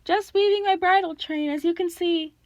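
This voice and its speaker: A woman plays a jittery male creature pretending to be a coy bride. The falsetto sits very high, simpering and hesitant, eager to placate, as a storybook character. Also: steady tone, moderate pace, no room sound, dull audio. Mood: feigned innocence.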